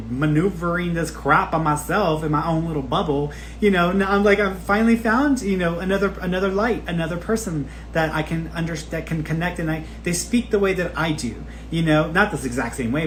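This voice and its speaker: Candid man chatting over background noise. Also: on the high side. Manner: candid and informal, cursing freely. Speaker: spiritual teacher and psychic medium hosting a live stream. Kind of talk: casual, rambling personal talk to online followers.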